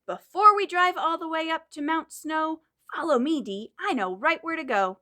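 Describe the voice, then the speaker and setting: Female children's storytime leader, bright and high, encouraging delivery aimed at little ones. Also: moderate pace. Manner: animated, encouraging, speaks directly to young children. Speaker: children's librarian leading storytime. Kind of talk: children's storytime with singing and reading aloud.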